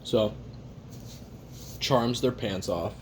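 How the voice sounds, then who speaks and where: A man playing a weary, jaded assassin who records a private confession in a halting, sardonic, casually profane way while eating. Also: on the low side, a little hiss or hum. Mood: blunt, irritated. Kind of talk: first-person confessional audio roleplay monologue.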